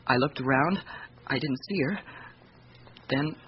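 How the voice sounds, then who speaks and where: A man playing a distraught young office worker in a radio drama, halting and apologetic. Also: medium pitch, close to an everyday voice. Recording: muffled, lightly noisy radio recording.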